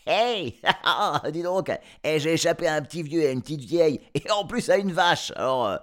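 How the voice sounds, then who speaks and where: A man's voice: fairly high pitch, animated, moderate pace, pitched above the performer's own voice, lightly acted. A man voicing a small, insolent gingerbread boy from a storybook, taunting and boastful. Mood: mocking. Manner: taunting, insolent, bursts of exclamations.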